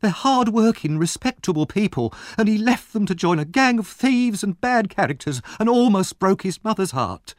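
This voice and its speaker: Guy doing a dramatic young woman, way high pitch, wailing and scolding, storybook. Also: lively intonation, moderate pace, hardly any pauses, dry recording, studio quality. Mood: accusing. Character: scheming young woman, melodramatically weeping and scolding. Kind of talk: audiobook character reading.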